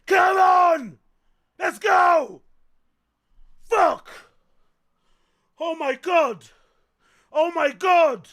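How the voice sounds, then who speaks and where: A man reacting live to a football match, excitable and yelling and swearing at the screen. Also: very high voice. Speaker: streamer host.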